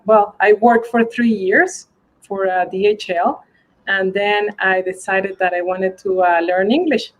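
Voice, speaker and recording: A woman from Venezuela, answering interview questions over a video call, halting with many fillers. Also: mid-range voice, rough recording. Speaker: guest, global mobility manager.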